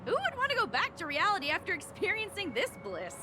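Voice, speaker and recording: A woman playing a pleasure-loving, polite resort guest in a video game, with a gushing, expressive delivery. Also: very high voice, quick pace, music in the background, exaggerated voice. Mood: defensive, wistful. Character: pleasure-loving, well-mannered female dream resort guest. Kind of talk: scripted game voice acting.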